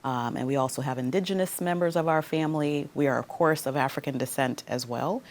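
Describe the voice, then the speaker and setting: A woman's voice: sits low, fairly quick, a little mellow. A woman from Guyana, an interview guest who speaks articulately and laughs easily. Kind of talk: casual interview.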